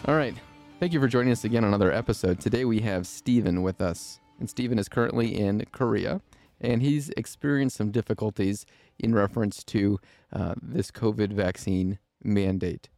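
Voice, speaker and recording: Male interview host, deep and warm, firm and earnest in tone, close dry mic. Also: normal speed, gravelly, no echo, soft background music. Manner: earnest, emphatic explaining. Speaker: podcast host. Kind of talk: interview podcast.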